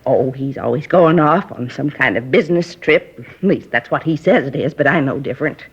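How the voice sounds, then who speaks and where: A gossipy nosy neighbor. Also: female voice, rumbling low, moderate pace, husky, full character voice. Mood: gossiping. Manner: chatty, rambling, confiding, folksy grammar. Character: gossipy nosy middle-aged woman neighbor. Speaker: apartment neighbor questioned by police. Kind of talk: 1950s radio drama acting. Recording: dull lo-fi 1950s radio recording.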